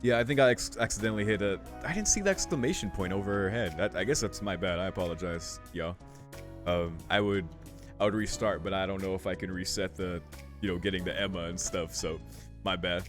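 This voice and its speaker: Casting: male gaming host, lowish voice, casual and jokey, slang-heavy, reads dialogue boxes aloud. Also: a little fast. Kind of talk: casual playthrough commentary, reading game text aloud. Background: game music.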